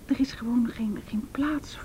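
A woman acts an uneasy activist in hiding, speaking Dutch of the Netherlands in a soft, mellow voice that often thins into whisper. She is halting and introspective, pitched slightly high. Faint noise and rumble sit behind the radio drama. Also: natural voice. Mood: hesitant. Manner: halting, searching for words. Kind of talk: intimate radio drama acting. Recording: noisy radio drama recording, low rumble.

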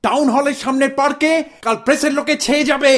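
A man's voice: very high voice, fairly even intonation, fast, a bit bright. A man acting in a radio play as a self-important, indignant middle-aged Bengali father who declaims and hectors like a zealous protester.

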